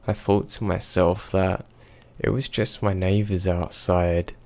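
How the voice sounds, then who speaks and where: A man with a very deep, gravelly voice reads a scary story aloud. He keeps his delivery flat and unhurried, letting each line settle. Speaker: horror story narrator. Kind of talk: scripted horror narration.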